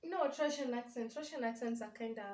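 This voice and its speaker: A woman's voice: on the high side, fast, a little mellow. A young woman with a Kenyan accent chatting casually with her sister, giggly and often searching for her words. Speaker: vlogger. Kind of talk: casual sibling tag chat. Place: small bare room indoors. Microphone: camera across the room. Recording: echoey room, distant mic.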